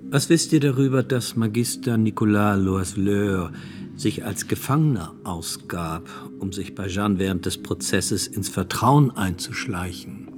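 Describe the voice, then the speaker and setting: Actor as low-voiced formal court examiner. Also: male voice, measured pace, over music, natural voice. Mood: formal, probing. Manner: formal, probing. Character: male court examiner questioning a witness, sober, formal. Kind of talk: radio drama acting, interrogation.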